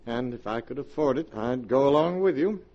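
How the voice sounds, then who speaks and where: An actor playing a menacing, smooth-talking blackmailer in a 1940s radio horror drama, commanding and coolly manipulative. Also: male voice, mid-range voice, lively intonation, brisk, mellow, highs cut off, natural voice. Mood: smooth pressure. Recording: vintage 1940s radio recording.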